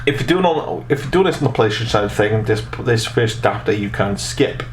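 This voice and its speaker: Young guy doing a casual how-to, lowish voice, keeps repeating himself, bit of noise in the room. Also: expressive, brisk. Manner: rambling, repeats and restarts phrases. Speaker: host of a tech tutorial channel. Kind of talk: casual step-by-step tutorial. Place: small room at home, indoors. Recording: noticeable background noise.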